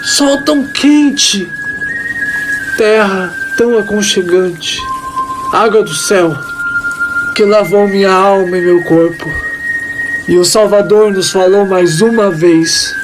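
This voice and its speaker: A woman voicing a devout small creature, telling its tale in solemn, reverent, dramatic narration with deliberate pauses. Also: medium pitch, over music, lightly acted, low-quality recording. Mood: awed. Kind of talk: dramatic first-person scripted narration.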